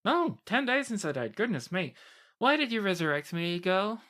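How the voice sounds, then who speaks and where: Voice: medium pitch, sing-song, moderate pace, fairly bright tone, pitched above the performer's own voice. A game master voicing a blunt, kindly, chatty halfling woman alchemist in a tabletop game, given to folksy exclamations. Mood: startled.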